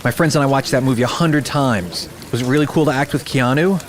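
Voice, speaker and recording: Casting: man to play an excitable, deferential fanboy soldier for radio drama, mid-pitched and rapid-fire. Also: close to an everyday voice. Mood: starstruck. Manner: gushing, deferential. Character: eager starstruck male recruit, aspiring actor. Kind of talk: post-apocalyptic radio drama acting.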